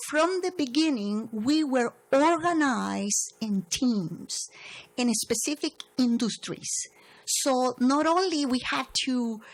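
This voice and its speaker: A woman's voice: on the high side, poor audio. A middle-aged woman from Venezuela with a Venezuelan Spanish accent, speaking earnestly on a panel with many "um" and "uh" fillers. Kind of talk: panel talk.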